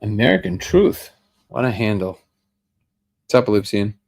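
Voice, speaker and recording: A man's voice: fairly low pitch, sing-song, moderate pace, vocal fry, a little mellow. A man hosting a daily livestream, chatting casually and greeting viewers by name. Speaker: livestream host. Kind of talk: casual live chat reading comments.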